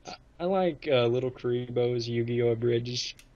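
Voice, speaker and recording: A man's voice: medium pitch, normal speed, warm tone. A man hosting a podcast, chatting casually as a fan over a muffled lo-fi connection. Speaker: podcast host.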